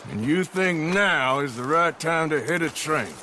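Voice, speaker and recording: An actor playing a loyal but wary American outlaw gunman in a video game, gruff, laconic and plain-spoken. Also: on the high side, lively intonation, a little slow, bright, forceful tone, a little hiss or hum. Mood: doubtful. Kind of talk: dramatic video game acting.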